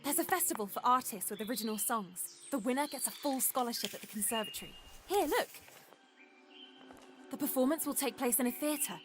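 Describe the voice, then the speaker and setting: Blunt, high-voiced rebellious girl. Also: expressive, brisk, fairly bright tone, soft background music. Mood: encouraging. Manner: blunt, impulsive, swears freely. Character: rebellious, blunt young maid, headstrong teenage girl. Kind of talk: naturalistic video game acting.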